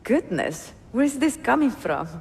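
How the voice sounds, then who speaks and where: Scholarly Italian woman for a game cutscene, eager and curious, fairly high voice, ringing hall. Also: sing-song, unhurried, vocal fry, slight background noise, heavily acted. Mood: delighted. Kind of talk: video game cutscene acting.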